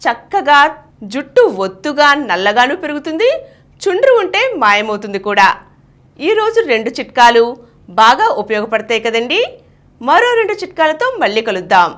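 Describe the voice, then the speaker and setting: A woman's voice: fairly high pitch, very animated, moderate pace, a bit bright. A woman hosting a tips show, reading scripted step-by-step advice and addressing listeners directly with small questions. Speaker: host and reader of a beauty tips show. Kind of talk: scripted presentation of home beauty tips.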